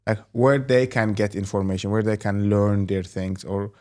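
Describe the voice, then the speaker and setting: Brief: male interview host, lowish voice, loose chatty questioning. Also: steady tone, moderate pace, dark tone. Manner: rambling questions full of "you know" and "like". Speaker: host and company executive.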